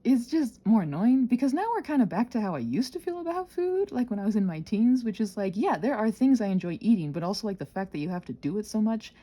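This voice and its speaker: Female, soft mellow tone with a slightly husky edge, highly varied intonation, playful rambling chat, self-deprecating. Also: quick pace, few pauses. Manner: expressive, acting out her own thoughts. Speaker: ASMR and audio content creator.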